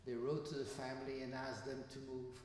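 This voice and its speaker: A man of around 80, from Belgium, giving a personal testimony to students as a guest speaker in a school hall, telling his story in a measured, plain way with small restarts. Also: medium pitch, flat delivery, darkish tone, a little hiss or hum. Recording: echoey hall reverb.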